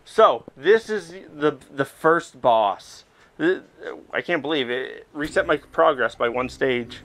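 A man giving casual, excitable commentary as he plays a virtual reality game, reacting aloud as he goes. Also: a little high, slow pace. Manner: excitable, reacting aloud while physically playing. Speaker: video game streamer.

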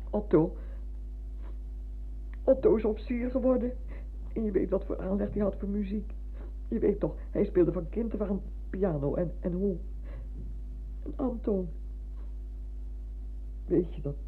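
An actress playing an older, fretful, chatty retired village schoolmistress in a radio drama, halting and repeating herself as she fusses. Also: mid-range voice, a little hiss or hum. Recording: muffled, humming old radio recording.

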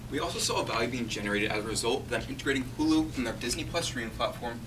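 A man's voice: medium pitch, very fast. A young male student presenter running through a list of points. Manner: list-like delivery. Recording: distant voice with room noise.

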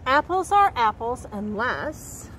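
A middle-aged woman reading a picture book aloud to young children in an expressive, carefully enunciated way, recorded outdoors. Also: a little high, takes it slowly, low-quality recording. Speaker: storybook reader and nature educator. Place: outdoors by a woodland creek. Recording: outdoor background noise.

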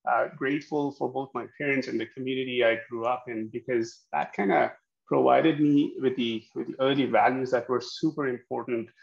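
A man's voice: medium pitch, fairly even intonation, normal speed, vocal fry. A man from Pakistan in his thirties giving a webinar talk on a video call, hesitant with many fillers and restarts. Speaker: guest speaker, accounting educator. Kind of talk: webinar presentation. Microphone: video call webcam. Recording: dull lo-fi video call audio.

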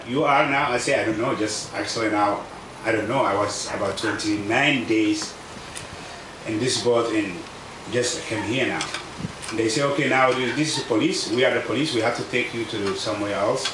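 A man in his thirties from South Sudan, speaking halting non-native English with many fillers as he gives a personal account outdoors under a tent. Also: on the low side. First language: a South Sudanese language. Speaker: refugee speaker. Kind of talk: personal testimony at a public event. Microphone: table microphone on stand. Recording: echoey, distant outdoor recording with noise.

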